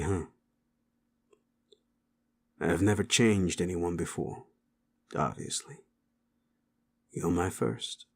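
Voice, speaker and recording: A man playing a streetwise, sleazy male werewolf with a wry, teasing and gently protective manner in an intimate audio roleplay. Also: low voice, breathy edge, heavily acted. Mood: sardonic, musing.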